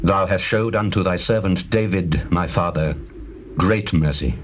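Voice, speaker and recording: Casting: regal young king of scripture drama, lowish voice, formal declamation, faintly noisy tape. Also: a little slow. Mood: humble, reverent. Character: King Solomon, stern, regal and devout young ruler. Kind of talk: dramatized scripture reading, classical stage acting. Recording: noisy old audio cassette.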